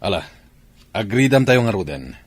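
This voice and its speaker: A gruff army commander in an Ilocano radio drama, played by a man. His voice is low and rough-edged, with lively swings in tone and an Ilocano accent. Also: moderate pace, dry recording. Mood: commanding, wary. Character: gruff male army commander giving orders.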